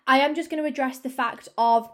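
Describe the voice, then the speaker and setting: A woman's voice: sits high, a little fast, a bit bright. Upbeat woman hosting a podcast. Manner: upbeat, chatty, many fillers. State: slightly croaky from a cold. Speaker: podcast host and fitness coach. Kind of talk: casual solo podcast talk.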